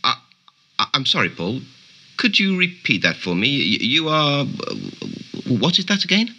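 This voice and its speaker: Bright, animated, mocking chat show host. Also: male voice, fairly high pitch, normal speed, exaggerated voice. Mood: amused, mocking. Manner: smooth, teasing, sceptical. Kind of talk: radio play acting as a TV chat show host.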